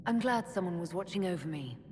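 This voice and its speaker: A woman's voice: lowish voice, animated, a little fast, warm tone, big-room sound, lightly acted. An actress voicing a direct, dry-humored elven woman leader in a game. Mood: teasing. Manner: dry, teasing. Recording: game audio with faint background noise.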